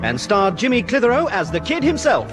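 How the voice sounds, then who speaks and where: A man's voice: high-pitched, huge pitch swings, fairly quick, over loud music. A male announcer reading scripted closing credits on a 1960s radio show. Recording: old 1960s radio recording.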